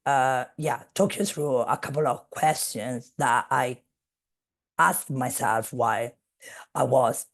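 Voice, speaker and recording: Woman speaker on a video call, slow and measured, gravelly and husky. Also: rumbling low. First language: Italian. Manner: measured, searching for words. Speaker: guest speaker. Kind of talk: academic webinar talk.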